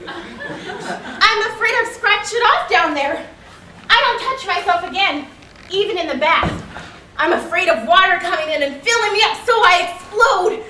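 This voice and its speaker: A woman's voice: extremely high pitch, animated, measured pace, sharp tone, full character voice. A woman performing a theatrical monologue as a wounded, frank young girl, with a childlike, dramatic, emphatic delivery. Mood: anxious, childlike. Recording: echoey room, distant mic, faint noise.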